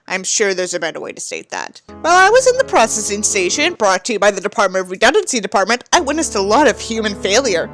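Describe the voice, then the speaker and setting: A woman's voice: on the high side, a bit bright. A woman giving a dramatic reading with theatrical, mocking delivery and sardonic asides. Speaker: host reading a book aloud with mocking commentary.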